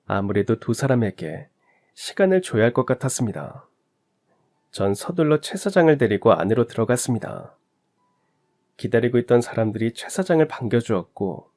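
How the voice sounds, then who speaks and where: Man, story narrator, calm and even, medium pitch. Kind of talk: first-person story narration.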